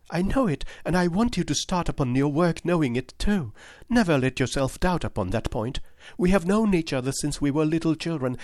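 A man's voice: on the high side, a little mellow, dry recording, studio quality, pitched above the performer's own voice, close to an everyday voice. A man reading aloud, voicing an earnest, distressed young woman who pleads insistently in a raised voice.

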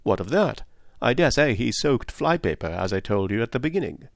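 A man's voice: mid-range voice, big pitch swings, fairly quick, vocal fry, dry recording, clean studio sound. A man voicing a blunt, stubborn middle-aged woman in an audiobook, brusque and vehement in manner. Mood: indignant. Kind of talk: audiobook character voice.

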